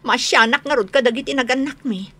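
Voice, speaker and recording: A bright-voiced, protective grown daughter. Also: female voice, sits high, a little fast. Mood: hurt, worried. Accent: Ilocano. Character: indignant adult daughter, protective of her elderly parents. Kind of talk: Filipino radio drama acting.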